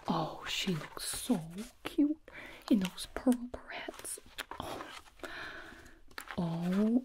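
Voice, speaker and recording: A woman whispering as a doting, chatty elf mother who fusses sweetly over a guest, in a soft ASMR roleplay close to the mic. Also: mid-range voice, noisy background. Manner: soft whisper, fussing and gushing.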